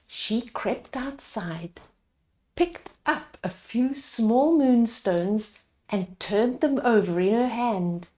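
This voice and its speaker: Slow, higher-voiced woman reading a storybook. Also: animated, mellow. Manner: unhurried, explains the pictures to young listeners. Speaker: storyteller reading a picture book aloud. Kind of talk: read-aloud storytime narration with asides to children.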